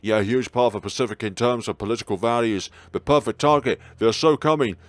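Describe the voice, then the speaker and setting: A man voicing a paranoid, conspiracy-obsessed male high school student in a visual novel, making ominous, earnest pronouncements. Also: fast, dry recording, natural voice. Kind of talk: visual novel character reading.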